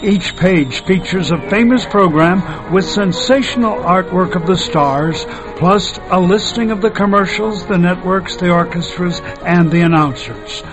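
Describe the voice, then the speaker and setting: A man's voice: high voice, measured pace, few pauses, rough recording. An older American man, a radio host, reading a scripted promotional narration. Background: music.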